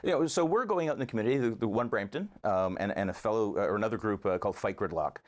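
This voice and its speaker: Low-voiced man making an earnest case. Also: expressive, rapid-fire. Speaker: interview guest, local resident advocate. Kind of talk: conversational interview answers.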